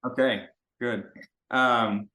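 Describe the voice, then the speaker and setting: A man's voice: medium pitch, steady tone, drawn-out words, pushed and bright. Rambling male podcast co-host, roomy sound. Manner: rambling, hesitant, searching for words. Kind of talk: casual interview chat. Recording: roomy echo.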